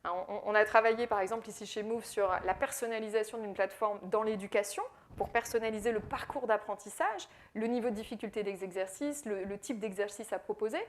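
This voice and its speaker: A woman's voice: mid-range voice, brisk, hardly any pauses. A woman from France with a French accent giving a business presentation, explaining with frequent fillers and repeated words. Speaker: AI consultant speaker. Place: presentation in Quebec.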